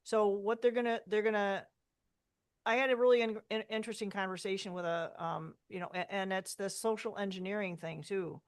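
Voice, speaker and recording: A woman's voice: mid-range voice, fairly even intonation, fairly quick. A woman co-hosting a podcast in casual chat, speaking in a matter-of-fact, emphatic way. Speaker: co-host.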